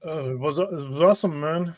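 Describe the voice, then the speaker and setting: A man's voice: a little high, brisk, darkish tone, muffled recording. A man speaking casually as a player in a tabletop roleplaying group. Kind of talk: casual chat.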